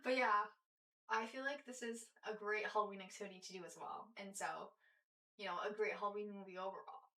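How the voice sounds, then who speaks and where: A woman's voice: mid-range voice, steady tone, a little fast, bright, forceful tone. A young woman co-hosting a podcast, chatting casually with many fillers and restarts. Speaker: podcast co-host. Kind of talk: casual chat. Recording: roomy echo, distant mic.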